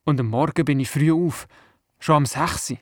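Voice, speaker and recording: A man's voice: fairly high pitch, normal speed, no room sound, clean studio sound, lightly acted. An actor playing a curious, eager young grandson who tells his story earnestly in a children's radio play, with a Swiss German accent. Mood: eager, narrating. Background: music.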